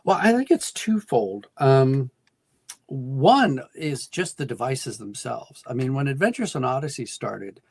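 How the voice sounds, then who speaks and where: Gravelly, husky American man explaining thoughtfully. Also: medium pitch, expressive, a little slow, darkish tone, no room sound, studio quality. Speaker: guest, audio drama writer and producer. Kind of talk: conversational interview answers.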